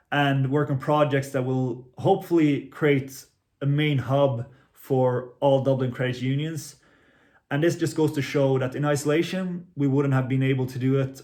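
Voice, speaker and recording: A man in his twenties, originally from Finland, giving a prepared self-introduction in an earnest, measured way, with a few small restarts. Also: medium pitch, darkish tone. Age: 20s. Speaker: credit union marketing executive.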